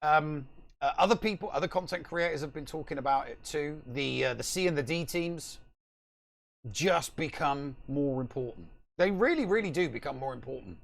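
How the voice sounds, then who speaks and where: A man's voice: a little high, animated, normal speed, creaky. A middle-aged man co-hosting a casual live gaming podcast from home on a video call, talking emphatically and repeating himself for stress. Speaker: podcast co-host.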